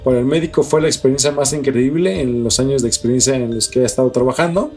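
A man's voice: medium pitch, quick pace, a little mellow. A man with a Mexican accent speaks as a podcast co-host in a casual, conversational style. His language is loose and profane, full of street slang. Music plays behind his voice. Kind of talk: casual news commentary on strange stories.

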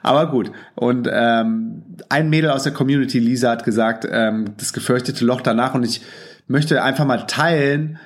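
A man with a cold talks through his podcast in a loose, excited way. His voice sits at an ordinary middle pitch. He uses plenty of slang and filler sounds. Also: normal speed. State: has a cold. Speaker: podcast host. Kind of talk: casual podcast monologue, reading out listener comments.

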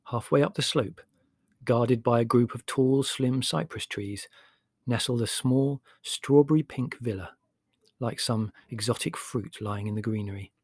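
A man's voice: medium pitch, unhurried, slightly rough, dry recording, clean studio sound. A man reading a literary memoir aloud as an audiobook narrator, in a descriptive storytelling style.

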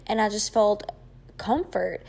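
A woman's voice: medium pitch, measured pace, vocal fry, darkish tone. A woman in her twenties, from Greece, hosting a casual solo podcast and chatting with lots of fillers. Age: 20s. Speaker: podcast host.

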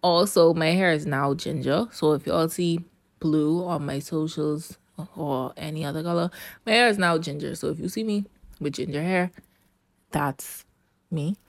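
A young woman with a lowish voice hosts her podcast solo in a relaxed, chatty way. She laughs easily and talks straight to her listeners. The sound is dry and close, with no room echo. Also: measured pace. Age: young adult. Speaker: podcast host. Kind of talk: casual solo podcast chat. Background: rain.

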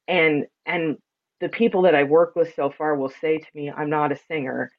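A woman with a deep, resonant, loud voice talking expressively and reflectively in a conversational interview, with earnest emphasis. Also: steady tone, moderate pace, dull audio. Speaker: podcast guest, spiritual sound and ceremony practitioner.

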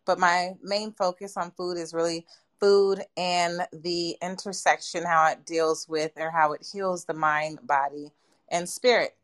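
Woman, private chef, low-ish voice, chatty, casual, restarting phrases. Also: fairly even intonation, measured pace. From California. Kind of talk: casual self-introduction.

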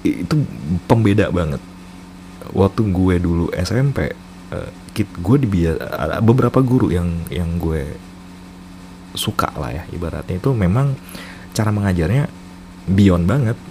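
A man's voice: deep voice, lively intonation, unhurried, pauses often, darkish tone, a little hiss or hum. A man with a Jakarta Indonesian accent telling personal stories casually, slipping into English and slang, in a self-mocking way. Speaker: storyteller host. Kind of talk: casual personal storytelling with English code-switching.

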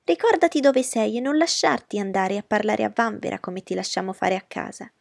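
A woman voicing a fussy, boastful middle-aged mother who speaks in a high, effusive way, with a gravelly, husky voice. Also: brisk, dry recording, clean studio sound. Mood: gushing. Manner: shrill and effusive.

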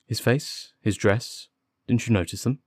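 A man voicing a confident, impatient gentleman detective, articulate and sardonic, in an audiobook. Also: fairly low pitch, normal speed, creaky, no room sound, studio quality. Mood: impatient probing. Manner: articulate, commanding, witty. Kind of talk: audiobook character dialogue.

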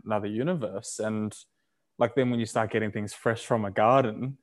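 A man's voice: fairly low pitch, steady tone, normal speed. A man hosting an interview, asking long, loose questions full of fillers. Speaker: interview host. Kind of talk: casual interview chat.

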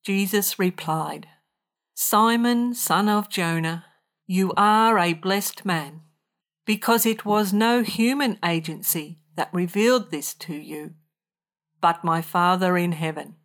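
A woman's voice: on the low side, slow. A woman reading a gospel passage aloud as a scripture reader.

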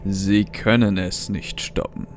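A man's voice: deep voice, little pitch movement, slow, slight background noise. Man playing a cold, piercing traitor scientist. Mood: calm menace. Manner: quiet but piercing, condescending. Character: traitorous male scientist mentor, cold, gleeful, fanatically devoted. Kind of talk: audio drama acting.

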